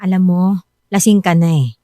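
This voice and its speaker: A woman's voice: lowish voice, normal speed, warm tone, no room sound, studio quality, close to an everyday voice. An actress in a radio drama playing a worried, supportive wife who pleads with and reassures her husband. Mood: earnest, placating.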